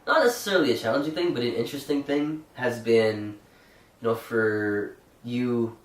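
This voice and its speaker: A man's voice: medium pitch, moderate pace. A young man with a Canadian accent, chatting casually as a vlog co-host, rambling with fillers and restarts. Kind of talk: casual chat. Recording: echoey room, mic at a distance.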